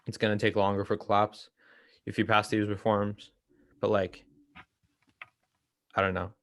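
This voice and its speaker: A young man co-hosting a casual discussion, talking in a hesitant, halting way. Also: on the low side, level tone, no room sound, clean studio sound. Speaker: co-host of a reading discussion.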